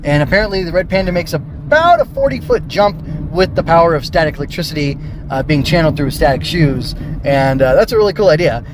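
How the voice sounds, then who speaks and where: A man's voice: on the high side, lively intonation, fairly quick, noisy background. A man hosting a casual solo review podcast, rambling and thinking aloud as he works through his notes. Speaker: podcast host reviewing an audio drama.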